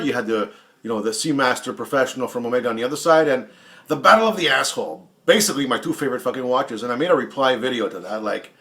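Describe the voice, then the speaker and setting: A man's voice: mid-range voice, bright, forceful tone. Man, late 40s, casual vlog talk, profane, blunt, taunting, repeats words for emphasis. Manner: repeats and corrects himself for emphasis. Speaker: YouTube watch channel host. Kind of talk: casual answers to another vlogger's questions.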